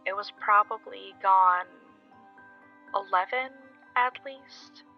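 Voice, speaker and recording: Shaken young woman, played by an actress, earnest halting account for audio drama, muffled lo-fi with music. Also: mid-range voice, creaky. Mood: uneasy. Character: shaken young woman recounting a frightening experience. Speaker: caller telling a story. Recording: dull lo-fi recording, music underneath.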